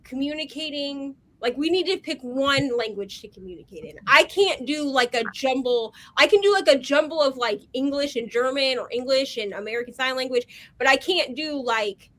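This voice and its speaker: A woman with a high, bright-toned voice tells stories in an animated, chatty way, filling her sentences with "like." She co-hosts a relaxed conversation over a video call. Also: moderate pace, hardly any pauses, rough recording. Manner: animated storyteller, heavy on "like" fillers. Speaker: co-host. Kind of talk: casual chat on a video call.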